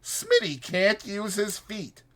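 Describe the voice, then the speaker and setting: A man's voice: high voice, wildly swooping pitch, slow, sharp tone, pitched above the performer's own voice, heavily acted. A man voicing a chatty old ranch swamper with rambling, folksy speech for a dramatized audiobook. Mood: excited, gossipy.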